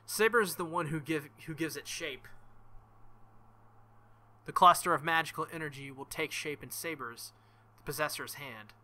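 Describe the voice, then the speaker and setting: A man's voice: on the high side, moderate pace, long pauses, sharp tone. A young man reading a visual novel aloud as a let's play host, with joking, crude asides between passages.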